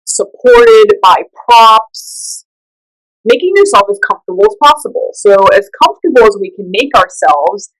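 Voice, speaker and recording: A woman leading a guided meditation, giving gentle instructions in a soothing, unhurried and conversational way. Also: medium pitch, low-quality recording.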